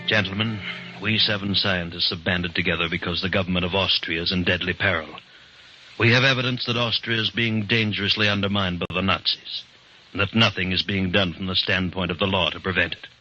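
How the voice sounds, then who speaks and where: An actor playing a grave, resolute leader of a secret band of scientists, with formal, declamatory 1940s radio delivery. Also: male voice, deep voice, fairly even intonation, a little fast, bright, forceful tone, soft background music, full character voice. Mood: grave, urgent. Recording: dull 1940s radio recording.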